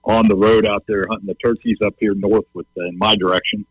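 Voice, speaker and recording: A man's voice: lowish voice, little pitch movement, fast talker, no echo, low-quality recording. A man co-hosting a casual radio show, easygoing and teasing. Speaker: radio co-host.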